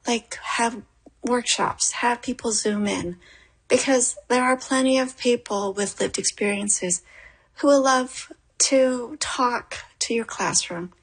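Gravelly husky-voiced woman, earnest. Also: medium pitch, fairly bright tone. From Korea, raised in Toronto. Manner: earnest, emphatic, thoughtful. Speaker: playwright guest. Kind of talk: interview answer, reflective.

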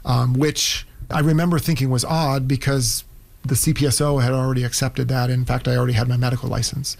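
A man's voice: medium pitch, little pitch movement, fairly quick, creaky, darkish tone. A middle-aged man telling his own story conversationally, acting out little quoted asides as he goes. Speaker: interviewee, immigrant doctor.

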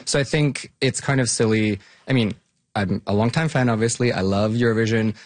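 A man from Bosnia-Herzegovina giving informal, conversational interview answers as an academic guest, often softening points as they go. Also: mid-range voice, a little fast, dry recording. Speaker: academic guest, longtime fan of the topic.

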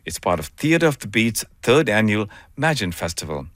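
Man, Canadian accent, low pitch, dry studio sound, radio host interviewing and presenting. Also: big pitch swings, a little fast, a bit bright, dry recording. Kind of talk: broadcast interviewing and scripted introductions.

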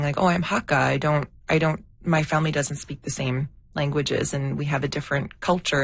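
A woman born in India, a documentary filmmaker, speaking thoughtfully as an interview guest with many pauses and fillers. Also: sits low, vocal fry, no echo. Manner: thoughtful, with frequent fillers and restarts. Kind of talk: interview conversation.

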